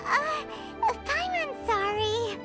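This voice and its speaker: A woman voicing a tiny, cheeky, childlike flying fairy companion in a video game, with a squeaky voice. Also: expressive, extremely slow, exaggerated voice. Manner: blunt, speaks of herself in third person. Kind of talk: scripted video game dialogue. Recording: loud game music behind. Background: playful game music.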